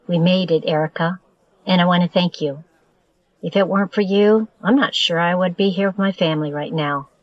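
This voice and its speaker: A woman's voice: lowish voice, moderate pace, lo-fi sound, natural voice. An actress playing a kind, caring woman traveler who talks in a friendly way.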